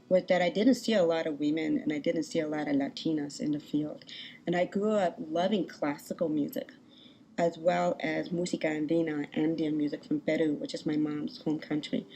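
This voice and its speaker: A woman composer from California, talking in an animated, reflective way in an interview clip. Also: low voice, poor audio. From Berkeley, California. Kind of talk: interview talk.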